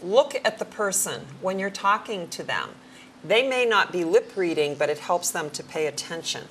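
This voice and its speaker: A middle-aged woman, a hearing care professional, giving friendly practical advice in a conversational talk show, sometimes slowing down to demonstrate clear speech. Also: lowish voice, lively intonation, a bit bright, slight background noise.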